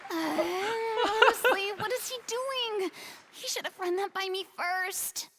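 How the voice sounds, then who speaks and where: A woman voicing a proud, easily flustered young former stage star in a video game, in an expressive, stagey manner. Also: sits high, unhurried, very noisy.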